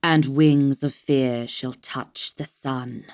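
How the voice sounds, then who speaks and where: Female actor for taunting demon killer, audio drama. Flat, unhurried delivery, breathy menace. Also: very deep voice, no echo, studio quality. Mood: cold menace. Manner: slow, hushed, menacing. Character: sinister female winged demon assassin, calm and taunting.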